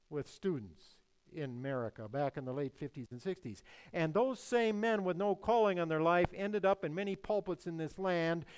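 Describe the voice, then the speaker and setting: An older male preacher with a somewhat high voice delivers a sermon with emphasis, repeating his key phrases. His delivery swings between raised, forceful passages and quiet, low endings. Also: clear, resonant tone. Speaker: pastor.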